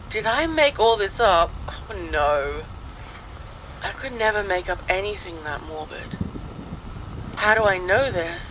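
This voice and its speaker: A man's voice: high-pitched, background noise. A man reading a scripted first-person ghost story, with rain and thunder sounds behind him. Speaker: horror story narrator. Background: rain and thunder ambience.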